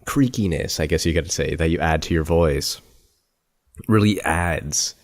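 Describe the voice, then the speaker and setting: Deep-voiced man hosting an interview. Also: a little slow. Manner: friendly, talkative, filler-heavy. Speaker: podcast host, interviewer. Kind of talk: casual interview chat.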